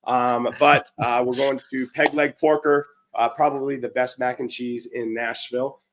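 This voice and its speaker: Hoarse, chatty man hosting a stream. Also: medium pitch, flat delivery, normal speed, noisy background. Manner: upbeat, chatty, many fillers and restarts. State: hoarse from a cold. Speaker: livestream show host. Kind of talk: casual live-stream chat.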